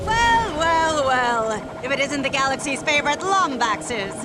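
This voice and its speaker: An actress playing a cocky, vengeful villainess in a video game, mocking and menacing. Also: female voice, sits high, very animated, measured pace, loud music behind the voice, rough recording. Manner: mocking, drawling taunts.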